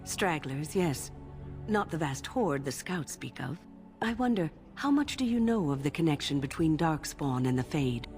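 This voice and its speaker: A kindly, wise older mage from a fantasy game. Her voice sits low and she explains things in a measured, composed way. Soft music plays faintly underneath. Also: sing-song. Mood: thoughtful. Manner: measured, gently instructive. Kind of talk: fantasy video game dialogue acting.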